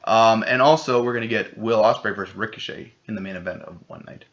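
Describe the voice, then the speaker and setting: A man co-hosting a casual podcast chat, rambling and excitable, swearing freely. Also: fairly low pitch, fast, vocal fry. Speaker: podcast co-host. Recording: dull lo-fi podcast audio.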